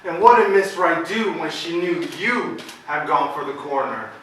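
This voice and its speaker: An actor playing a brisk, condescending county attorney in a stage drama, with a probing, pompous manner. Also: male voice, on the high side, lively intonation, fairly bright tone. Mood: probing, businesslike. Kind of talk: stage drama acting, questioning. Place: indoor theater stage, distant microphone. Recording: echoey stage from far off, faint noise.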